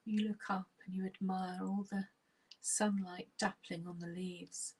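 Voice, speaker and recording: Very breathy, slow-speaking woman guiding meditation. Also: mid-range voice, level tone. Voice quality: breathy, husky, often near a whisper. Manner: soft, soothing, unhurried, with long pauses. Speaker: guided meditation narrator. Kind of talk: guided relaxation meditation.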